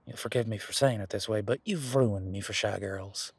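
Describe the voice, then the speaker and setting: A man playing an earnest, teasing werewolf boyfriend in an intimate roleplay audio drama, speaking casually and haltingly with a husky voice. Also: lowish voice, no room sound. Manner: casual, halting, conversational with trailing pauses. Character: male werewolf boyfriend, earnest, hopeful, teasing former bodyguard.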